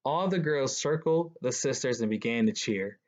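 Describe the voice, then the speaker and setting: A man's voice: mid-range voice, lively intonation, moderate pace, darkish tone. A man reading a children's picture book aloud as a guest reader. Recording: dull, lo-fi sound.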